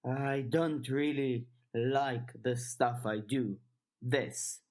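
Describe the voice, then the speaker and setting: A woman's voice: extremely low pitch, drawn-out words, darkish tone, exaggerated voice. A woman voicing a guarded, aloof and weary woman in an audiobook, terse and reserved. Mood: dismissive. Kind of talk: audiobook character dialogue.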